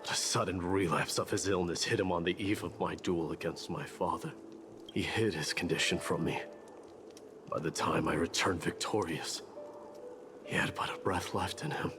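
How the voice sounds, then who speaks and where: Game role: grave warrior prince, very low voice, solemn storytelling over music. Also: male voice, moderate pace. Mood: solemn grief. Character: grave warrior prince recounting his fallen comrades. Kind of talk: dramatic game voice acting.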